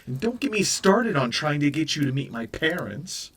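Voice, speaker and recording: A man's voice: a little high, very animated, normal speed, breathy edge, pitched above the performer's own voice. A man voicing a stern, exasperated young mother who scolds firmly and reproachfully. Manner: firm and reproachful. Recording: voice a bit far from the mic.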